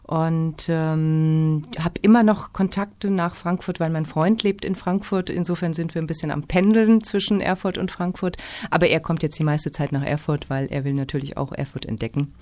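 A woman from Hesse, a literature festival organizer, answering interview questions in a lively, engaged way with many fillers. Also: sits low, moderate pace, few pauses. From Hesse, Germany.

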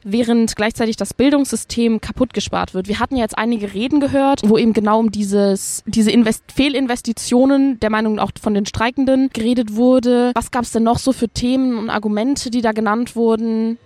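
A woman's voice: on the high side, steady tone, a little fast, few pauses, warm tone, no echo. A woman speaking as a reporter and host in a conversational discussion.

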